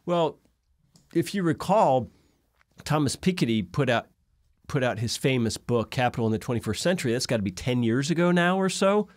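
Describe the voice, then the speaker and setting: A middle-aged man hosting a discussion over a video call from an indoor office. He speaks in a measured way and asks long, carefully framed questions. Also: mid-range voice, lively intonation, no echo, clean studio sound. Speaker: host. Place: indoor office in front of a backdrop.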